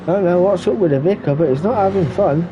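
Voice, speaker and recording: A man giving relaxed running commentary on a sim race, stuffy and muffled from a cold. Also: high-pitched, quick pace. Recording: muffled lo-fi recording with background noise.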